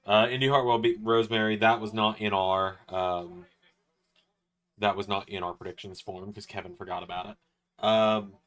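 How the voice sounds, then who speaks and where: A man's voice: fairly low pitch, fairly even intonation, normal speed, a bit bright. A man hosting a live reaction stream, chatting casually and thinking aloud with fillers. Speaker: stream host.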